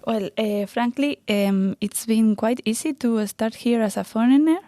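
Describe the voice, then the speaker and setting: A woman in her thirties from Spain with a Spanish accent, answering interview questions about her research with many pauses and fillers. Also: on the high side, dark tone, no room sound. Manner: hesitant, many fillers and restarts. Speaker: postdoctoral researcher.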